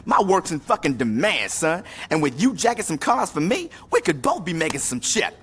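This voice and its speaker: A cocky male hustler from a crime game. He brags in slang, his high voice bouncing excitedly, with faint noise behind. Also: a little fast. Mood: pleased, cocky. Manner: slangy, boastful. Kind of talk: video game cutscene acting.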